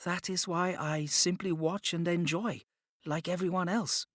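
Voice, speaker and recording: A man voicing a gentle, admiring young Chinese concubine with a soft, playful manner in an audiobook. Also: high-pitched, steady tone, unhurried, no room sound, clean studio sound, pitched above the performer's own voice. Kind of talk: audiobook character dialogue.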